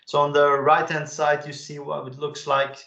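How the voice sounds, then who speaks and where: Man, gravelly voice, casual software walkthrough, roomy echo. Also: a little high, completely flat delivery, normal speed, muffled recording. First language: Dutch. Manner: casual, enthusiastic, frequent "uh" fillers. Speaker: webinar presenter. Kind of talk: live software demo walkthrough.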